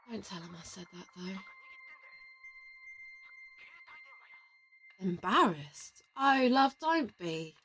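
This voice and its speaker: A woman's voice: medium pitch, a bit bright, slight background noise. A woman playing a confident, teasing interrogator from an assassin team in an audio roleplay, affectionate and boastful. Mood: gentle, knowing. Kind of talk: audio roleplay addressed to the listener.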